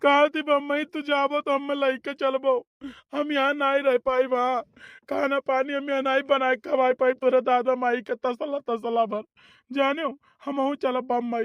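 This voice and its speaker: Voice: sits high, clear, resonant tone, dry recording, lo-fi sound, natural voice. Someone doing a whining tearful grown son. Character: weepy grown son, complaining about his wife.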